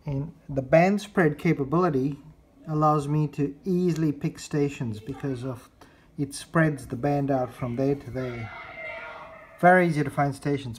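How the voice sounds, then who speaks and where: Person demonstrating radios over background noise. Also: medium pitch. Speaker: radio reviewer. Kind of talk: casual hands-on product demonstration.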